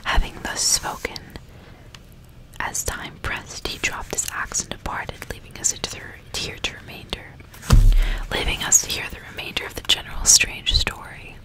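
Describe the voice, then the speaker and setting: A woman doing an ASMR book reading in a soft, breathy, unvoiced whisper, with deliberate storytelling delivery. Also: poor audio. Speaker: ASMR host reading a novel aloud.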